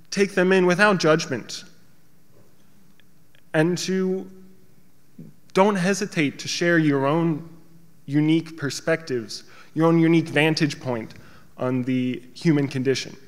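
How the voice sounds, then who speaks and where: A man moderating a panel, addressing the audience earnestly and encouragingly as he introduces the next speaker on a theater stage. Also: on the high side. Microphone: stand microphone at a panel. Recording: reverberant hall from a distance.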